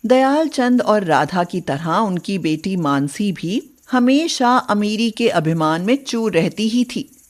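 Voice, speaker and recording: A woman's voice: mid-range voice, expressive, slow pace. A woman reading scripted storybook narration as the narrator of a tale.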